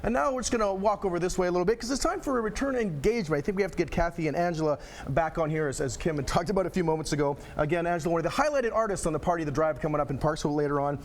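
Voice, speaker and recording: A man's voice: a little high, rapid-fire, a little hiss or hum. A man presenting an upbeat local TV magazine show in a chatty, jokey way. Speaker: TV show host.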